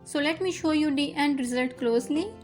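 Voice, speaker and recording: A woman's voice: high-pitched, brisk, a little mellow, soft background music. A young woman whose first language is Urdu, patiently talking through a sewing machine fix step by step as host of her tutorial channel. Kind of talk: instructional voice-over.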